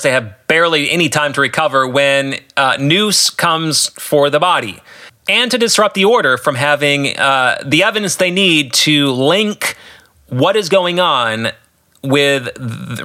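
Male podcast host, higher-set and very bright voice, earnest explanatory commentary. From United States. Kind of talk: solo podcast book commentary.

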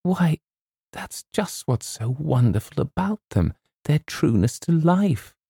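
A man's voice: fairly high pitch, big pitch swings, takes it slowly, vocal fry, warm tone, husky, no echo, clean studio sound, pitched above the performer's own voice, full character voice. A man voicing a sweet, fragile, romantic young woman in a storybook, with a breathless, gushing, hesitant manner.

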